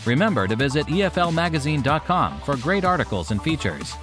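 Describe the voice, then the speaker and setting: A man's voice: a little high, very animated, a little fast. A man delivering an upbeat, scripted show introduction as the announcer. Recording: announcer voice over music.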